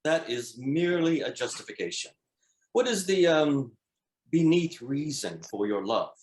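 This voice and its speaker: A man's voice: fairly high pitch, big pitch swings, unhurried, a little mellow. Man acting a playful Venetian gondolier, echoey room. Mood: probing. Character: confident, teasing Italian gondolier, proud of his city. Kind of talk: screenplay table read. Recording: echoey, roomy sound.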